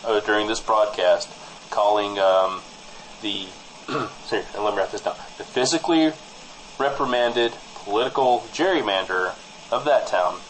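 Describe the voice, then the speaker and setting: A man playing an apologetic small-town broadcaster in improvised comic radio banter, halting and over-formal on a studio mic. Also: on the low side, fairly bright tone, a little hiss or hum, close to an everyday voice, low-quality recording. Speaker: co-host of a fictional small-town news show.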